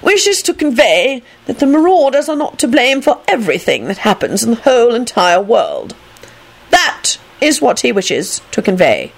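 Woman putting on a pompous old gentleman. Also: high voice, very animated, moderate pace, voice pushed up. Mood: importunate. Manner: formal, announcing. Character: pompous, importunate old man, a dutiful messenger.

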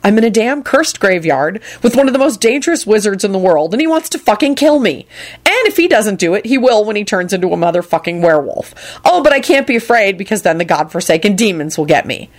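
A woman's voice: mid-range voice, big pitch swings, moderate pace, slightly rough. A woman voicing an irritable, sarcastic, anxious male wizard who snaps and mutters in a story reading. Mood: sarcastic dread. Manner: snappish, sarcastic, hissing mutter.

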